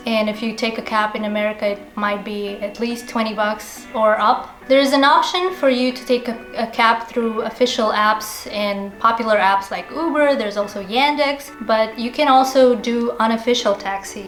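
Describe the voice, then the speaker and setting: A woman's voice: a little high, normal speed, hardly any pauses. A young woman from Kazakhstan talking to camera in an upbeat, informal vlog style. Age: young adult. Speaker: vlogger. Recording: roomy echo, faint music underneath. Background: background music.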